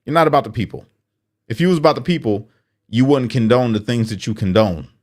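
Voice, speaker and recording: A man's voice: medium pitch, fairly quick, darkish tone. A man hosting political commentary, speaking bluntly and sarcastically as if straight to the person he criticizes. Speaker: commentary host.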